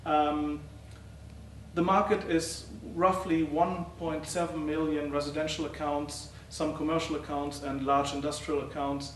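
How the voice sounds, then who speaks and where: A middle-aged man giving a conference talk as a professor presenting research, speaking haltingly with many uh and um fillers. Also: on the high side, little pitch movement. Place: lecture hall. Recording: roomy, distant, faint noise.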